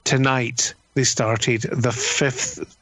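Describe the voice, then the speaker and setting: A man's voice: mid-range voice, little pitch movement, drawn-out words, fairly bright tone, no room sound. A man in his fifties giving an enthusiastic, emphatic and conversational review as a radio critic. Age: 50s.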